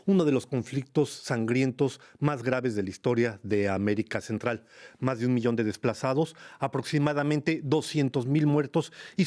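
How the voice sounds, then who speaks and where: A man's voice: medium pitch, a little mellow. Formal male radio interviewer. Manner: measured, formal, leads with long framing questions. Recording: radio broadcast studio.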